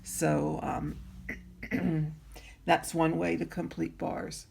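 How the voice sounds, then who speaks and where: A woman with a raspy voice teaching needlework in an easygoing tutorial, pausing often with ums and clearing her throat. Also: deep voice, flat delivery, a little mellow, a little hiss or hum. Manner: slow, unhurried, frequent ums and throat clearing. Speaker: embroidery teacher. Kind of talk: instructional craft tutorial.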